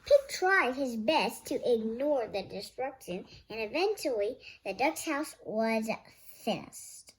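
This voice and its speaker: A child reading a picture book story aloud, halting at times and correcting slips along the way. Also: mid-range voice, animated, slight background noise, rough recording. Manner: halting young reader, stumbles and self-corrects. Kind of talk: read-aloud story narration.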